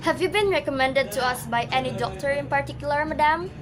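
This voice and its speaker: Woman playing a high-voiced, formal hospital receptionist. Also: brisk, music in the background, close to an everyday voice. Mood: polite, formal. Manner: formal, courteous, unruffled. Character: polite, businesslike hospital switchboard woman. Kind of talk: amateur radio drama acting.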